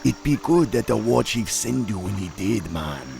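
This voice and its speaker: A man's voice: on the low side, animated, moderate pace, darkish tone, soft background music. A man voicing a proud male troll chieftain who speaks in an island patois, in a video game cutscene. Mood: urgent. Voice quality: gravelly.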